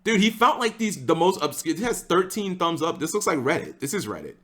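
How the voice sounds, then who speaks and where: High, bright, excitable-sounding male streamer. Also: brisk. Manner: exclamatory, repeats phrases. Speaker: streamer reacting to a video. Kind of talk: casual live reaction commentary.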